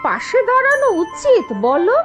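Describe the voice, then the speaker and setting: A woman voicing a kindly old grandmother in a cartoon, speaking softly and coaxingly. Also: very high voice, very animated, moderate pace. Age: older. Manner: soft, coaxing, pleading. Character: kindly old village grandmother, gentle peacemaker. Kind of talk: cartoon voice acting. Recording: dull lo-fi sound with music. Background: music.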